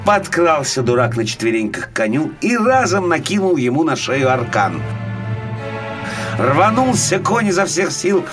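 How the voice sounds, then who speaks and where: A man reads a folk tale to young children. His voice sits a little high and moves with lively, dramatic swings. Soft music plays behind him. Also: a little slow. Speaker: storyteller. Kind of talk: expressive fairy-tale narration for small children.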